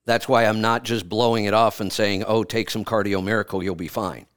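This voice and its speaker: A man's voice: fairly low pitch, fairly even intonation, brisk, fairly bright tone, clean tone, dry recording, studio quality. A man hosting a radio health show, giving a caller firm, direct advice and often repeating himself. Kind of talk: advising a caller on air. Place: radio studio.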